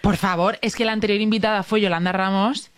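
Woman co-host chatting, expressive, does little quotes, mid pitch, dry sound. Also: fast, clear, resonant tone, dry recording. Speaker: radio co-host. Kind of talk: casual chat.

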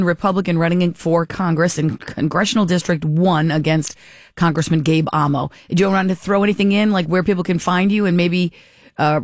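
A woman's voice: on the low side, dry recording. Chatty radio host lady, friendly, rambly, dry studio mic. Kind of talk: casual radio interview.

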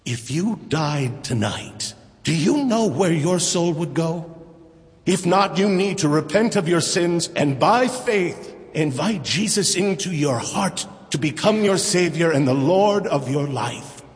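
A revival preacher in a radio drama, high-pitched and fervent. He declaims and pleads solemnly, his words ringing through a long hall reverb. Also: animated, measured pace. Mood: urgent appeal. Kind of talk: evangelistic sermon.

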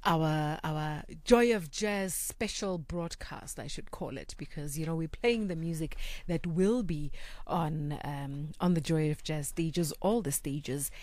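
A woman hosting a radio show, her voice on the low side for a woman. She asks her guest questions in an encouraging way. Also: a little slow, a little mellow, no echo. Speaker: radio host.

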